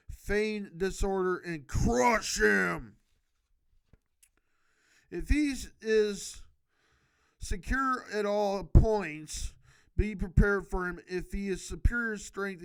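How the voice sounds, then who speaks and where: Amateur male reader, high register, slow halting delivery, self-correcting, breaks into emphatic off-the-cuff advice. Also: clean tone. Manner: stumbles over words and corrects himself; emphatic, exhorting. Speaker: amateur video host reading a book aloud. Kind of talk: halting read-aloud with improvised casual commentary.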